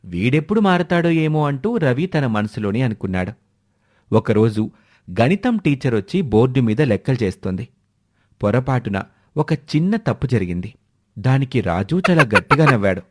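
A man's voice: mid-range voice, sing-song, normal speed. A man narrating a scripted moral story for children as a storyteller.

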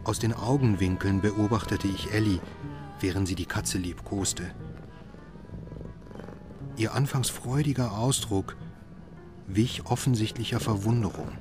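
An actor playing a troubled doctor and father who narrates his story in a radio drama, subdued and measured. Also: lowish voice, music in the background, natural voice. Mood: brooding.

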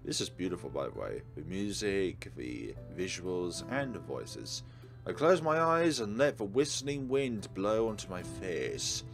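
A man's voice: low voice, sing-song, a little slow, gravelly. A man hosting a let's play, reading game text aloud and commenting on it. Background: game music.

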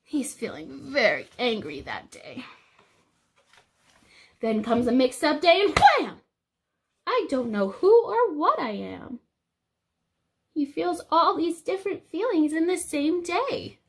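A young woman reading a picture book aloud to small children, expressive and sing-song, explaining things simply as a teacher would. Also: sits high, slow, long pauses. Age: young adult. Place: small indoor room.

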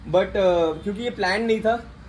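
A man's voice: high voice, moderate pace, a little hiss or hum, poor audio. A young man in his twenties hosting a playful, joking house tour with a phone held at arm's length indoors. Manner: playful, joking, mixes Hindi and English. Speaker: vlogger host. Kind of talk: casual house-tour chat. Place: apartment rooms indoors.

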